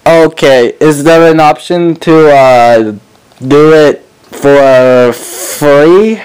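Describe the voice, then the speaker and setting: A man making a prank phone call, talking haltingly and acting clueless and evasive. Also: a little high, darkish tone, smooth. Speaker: prank caller.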